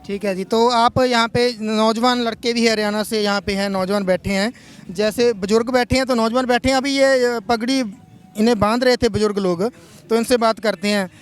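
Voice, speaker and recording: A male reporter asking measured, probing questions in a field interview. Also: very high-pitched, fairly even intonation, clear, resonant tone, soft background music.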